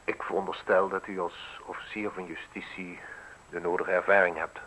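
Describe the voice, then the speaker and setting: An actor playing a self-assured, mocking man in his thirties in a radio drama, speaking deliberately with ironic, theatrical pauses. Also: sits low, level tone, pushed and bright, a little hiss or hum, low-quality recording. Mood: interrogating, smooth. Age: 30s. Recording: husky-sounding radio play recording.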